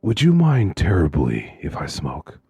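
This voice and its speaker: An actor playing a calm, philosophizing male serial killer of about forty in a suspense audio drama, gravelly and deliberate. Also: dull audio, faint music behind, full character voice. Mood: cold, musing. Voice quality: very deep, gravelly husky. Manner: slow, deliberate, pompous phrasing.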